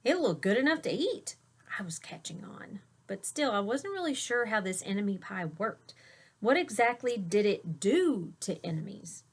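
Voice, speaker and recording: A woman reads a children's picture book aloud in a teacherly storytelling style. Her voice sits at a medium pitch, with clear emphasis on key words and hushed dramatic moments. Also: sing-song, normal speed.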